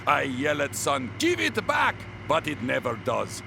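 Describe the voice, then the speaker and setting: An actor voicing a gloomy, deadpan adult Russian man who speaks clipped broken English with a Russian accent, in an animated sitcom. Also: fairly high pitch, measured pace, vocal fry, noisy background, exaggerated voice.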